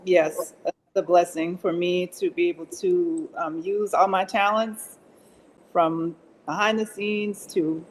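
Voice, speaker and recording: A woman's voice: fairly low pitch, fairly even intonation, slow, slight background noise, low-quality recording. A woman speaking effusively and enthusiastically as a guest in a conversational interview. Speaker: actress and singer, interview guest.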